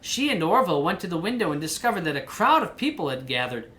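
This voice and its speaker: A woman reading a children's storybook aloud in an animated style. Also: on the low side, quick pace, low-quality recording. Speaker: storybook reader.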